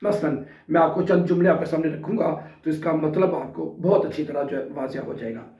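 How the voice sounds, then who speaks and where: A man's voice: a little high, warm tone. A man with a sore throat teaching a language lesson in a casual way, repeating example sentences word by word. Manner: slow and repetitive, spelling out example sentences word by word. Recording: distant, roomy, dull sound.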